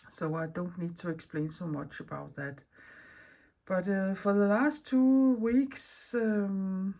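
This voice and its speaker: A woman from Denmark with a Danish accent, chatting casually and unscripted as a craft vlog host, rambling and searching for words in halting non-native speech. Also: mid-range voice, a little mellow. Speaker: mixed media artist and video host.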